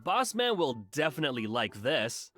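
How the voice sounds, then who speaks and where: Lively, cheeky young henchman, voiced by a man. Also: medium pitch, moderate pace. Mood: eager. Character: cheeky, eager young henchman, mischievous twin. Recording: music behind.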